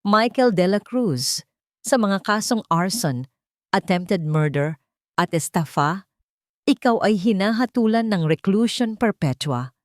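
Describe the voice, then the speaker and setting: A woman voicing a solemn, authoritative judge in a story, with formal, measured delivery. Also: mid-range voice, expressive, no echo, clean studio sound, natural voice.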